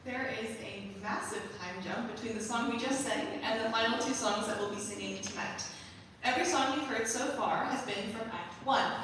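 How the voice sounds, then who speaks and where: A high-pitched woman speaks as a composer introducing a staged reading of a musical. Her voice rings through a very echoey hall, picked up from some distance. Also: a bit bright, a little hiss or hum. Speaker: composer and performer presenting their capstone musical.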